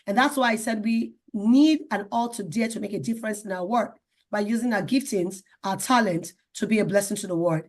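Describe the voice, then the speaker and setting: A woman hosting a talk show in an enthusiastic, effusive manner, repeating phrases for emphasis. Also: on the high side. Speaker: show host.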